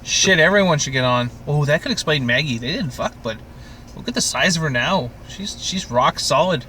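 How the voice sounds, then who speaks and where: A man hosting a casual podcast chat about a TV show, with crude, profane, animated joking. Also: a little high, moderate pace, slight background noise. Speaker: podcast host.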